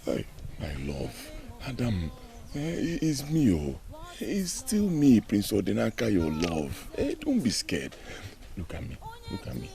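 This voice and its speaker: An earnest young prince pleads. His pitch leaps about wildly as he goes from near-whispers to pushy, loud appeals. Nothing about his voice sounds unusual for a man. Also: wildly swooping pitch, moderate pace. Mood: coaxing. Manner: pleading, swings between hush and force.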